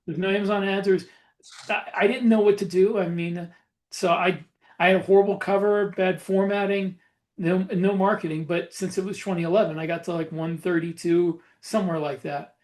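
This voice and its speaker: A man with a noticeably high voice for a male speaker chats casually as a podcast host. He tells his stories reflectively, in a halting way, feeling his way through each thought. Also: fairly even intonation, darkish tone. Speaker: podcast host and self-published author. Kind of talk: casual podcast conversation about a writing career.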